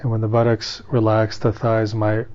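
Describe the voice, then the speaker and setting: A man leading a guided meditation as its teacher, speaking in a calm, unhurried, soothing way with long pauses between instructions. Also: fairly low pitch, muffled recording.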